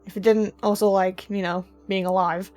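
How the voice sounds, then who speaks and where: A woman's voice: medium pitch, flat delivery, normal speed, a little mellow, faint music behind, natural voice. A woman voicing a bored young muse who loves chaos, whiny and sarcastic, in an audio drama. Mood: sulky. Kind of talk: ASMR audio drama roleplay.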